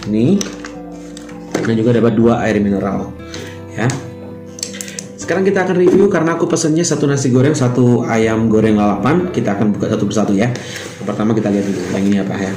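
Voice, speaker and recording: Chatty man reviewing food in echoey room. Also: on the low side. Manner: chatty, describes food while eating. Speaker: food vlogger. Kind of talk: casual food review vlog. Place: small hotel room, indoors. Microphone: camera at table distance. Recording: echoey room with music behind. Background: background music.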